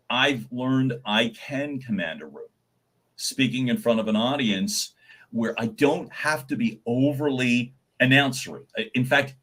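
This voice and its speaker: Husky-voiced man coaching on voice. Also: mid-range voice. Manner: says he has a naturally loud voice; animated, repeats words when starting. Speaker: guest voice coach. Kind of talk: live Q&A advice.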